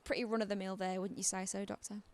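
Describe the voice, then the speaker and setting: A woman's voice: mid-range voice, little pitch movement, quick pace, natural voice. Woman acting a defiant, sardonic young British inmate. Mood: guarded, wry. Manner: sardonic, challenging. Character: defiant, bitter 26-year-old woman psychiatric inmate. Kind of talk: radio drama acting.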